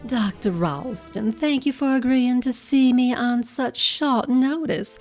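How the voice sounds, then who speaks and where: A woman's voice: fairly high pitch, slow pace, a little mellow, soft background music. A woman playing an apprehensive, polite patient in an audio drama, speaking hesitantly. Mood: nervous politeness. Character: apprehensive, polite female patient troubled by dreams.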